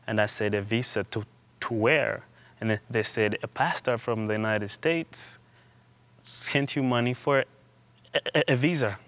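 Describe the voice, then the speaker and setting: A young man from Uganda, a student guest in an interview, telling his story in a halting way with frequent restarts and repeated words. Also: medium pitch, a bit bright. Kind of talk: conversational interview.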